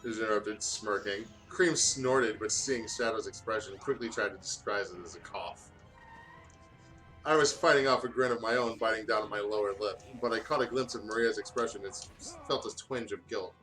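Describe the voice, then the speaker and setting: A man's voice: fairly low pitch, normal speed, a bit bright, faint music behind, low-quality recording. A man who hosts let's plays, reading fan fiction aloud and chatting casually. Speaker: let's play host.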